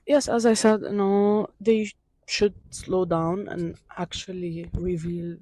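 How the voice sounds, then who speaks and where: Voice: mid-range voice, flat delivery, slow pace, dark tone, no room sound. A student from Syria answering interview questions on a campus outdoors, speaking hesitantly and searching for words.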